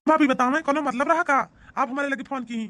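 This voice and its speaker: A man plays a courteous young workmate taking a call in a cartoon. He sounds high and bright, with an Awadhi accent. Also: steady tone, a little fast, no echo. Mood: polite, helpful. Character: polite young male workmate on the phone. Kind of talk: village comedy cartoon acting.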